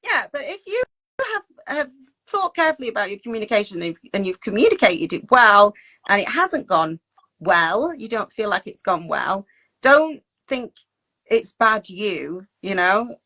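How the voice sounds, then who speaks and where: A woman's voice: medium pitch, big pitch swings, normal speed, pushed and bright. A woman giving an informal coaching talk in a chatty, encouraging way, often checking in with tag questions. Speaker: teacher wellbeing coach leading a talk. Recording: distant microphone.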